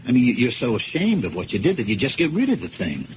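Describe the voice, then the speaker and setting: A neurotic but consoling police detective, coaxing and insistent. His medium-pitched voice moves around a lot, in 1970s radio drama style. The recording is dull. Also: huge pitch swings, fast talker, noisy background. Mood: soothing, coaxing.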